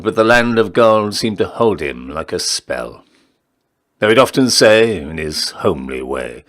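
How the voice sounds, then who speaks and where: A man reciting a narrative ballad in a measured, theatrical storytelling style with deliberate pauses. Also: deep voice, fairly bright tone. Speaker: poetry reader, town crier.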